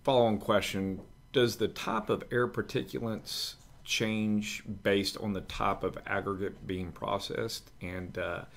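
A man's voice: fairly low pitch, steady tone, unhurried, vocal fry, fairly bright tone. A middle-aged man, a state representative, courteously and formally moderating an online town hall from an indoor room. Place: indoor room, on a video call.

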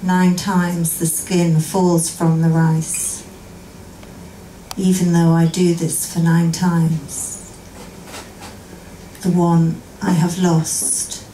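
Soft-spoken woman in an echoey cafe. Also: lowish voice, little pitch movement, very slow, long pauses, far from the mic, a little hiss or hum. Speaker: singer and keyboard player. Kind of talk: spoken introductions between songs at a live gig. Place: small cafe venue indoors, brick wall. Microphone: vocal mic on a stand, filmed by a far camera. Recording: echoey cafe room, live instruments.

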